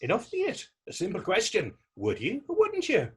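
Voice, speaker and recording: Man performing Irish provocateur, stage drama, husky, highly animated pitch, mocking, needling, old-fashioned turns of phrase. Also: moderate pace, heavily acted. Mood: mocking, provocative. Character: taunting, defiant Irish man needling a policeman. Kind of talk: readers theater stage acting.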